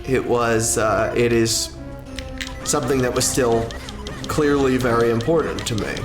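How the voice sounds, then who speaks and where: Male streamer, mid-range voice, unhurried and rambling delivery, roomy echo, music underneath. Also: medium pitch, steady tone. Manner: rambling, halting, with drawn-out pauses and self-corrections. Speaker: livestreamer and content creator. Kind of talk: casual livestream chat, answering a viewer's question.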